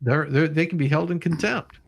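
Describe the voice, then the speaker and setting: A man's voice: fairly high pitch, a little fast, creaky. A man hosting a livestream, reading legal documents aloud and explaining them casually, repeating himself for emphasis and occasionally swearing. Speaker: livestream host.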